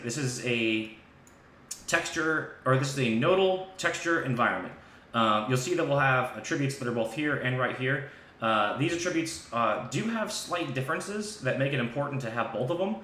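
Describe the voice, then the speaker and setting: A man with a bright, forward voice leads a casual software tutorial on a live stream. He thinks aloud as he demonstrates, in an informal way. The room is clearly echoey, with a faint layer of background noise. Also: medium pitch.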